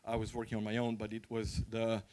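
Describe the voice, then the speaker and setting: A man's voice: lowish voice, completely flat delivery, fairly quick, darkish tone, a little hiss or hum. Croatian-accented man giving an informal talk. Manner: candid, conversational, anecdotal. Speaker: conference speaker and freelancer.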